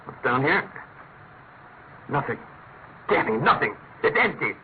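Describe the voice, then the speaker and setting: An actor playing a shrewd, imperious master detective in a classic radio drama, incisive and urgent. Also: male voice, medium pitch, very animated, a little slow. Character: brisk, commanding, shrewd male master detective. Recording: tinny, narrow-band old radio, faint noise.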